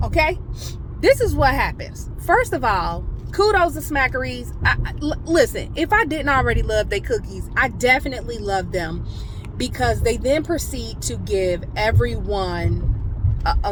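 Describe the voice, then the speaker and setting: A woman's voice: mid-range voice, background noise. An American woman vlogger telling a casual story in an emphatic, colloquial way, repeating phrases to drive them home. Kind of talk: casual animated storytelling to camera. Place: in a car.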